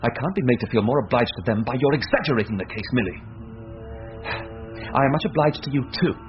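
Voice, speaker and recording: An actor playing an earnest, proud young student in an old-time radio drama, speaking formally, on a dull, hissy recording. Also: male voice, medium pitch, expressive, fast talker, faint music behind, natural voice. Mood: cold, irritated.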